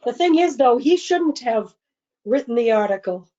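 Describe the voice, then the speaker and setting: A woman with a high voice chimes in with short, quick remarks at a town board meeting. The audio is dull and lo-fi, like local cable TV. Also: lively intonation, mellow. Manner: quick interjections. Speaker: meeting participant. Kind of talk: meeting discussion.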